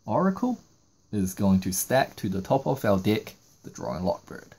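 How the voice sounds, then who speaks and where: Guy explaining card game moves step by step, relaxed dark voice, really up and down intonation. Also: on the low side, moderate pace, gravelly, husky. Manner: explanatory, walking through moves in sequence. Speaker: trading card game YouTuber. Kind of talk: step-by-step card combo tutorial narration.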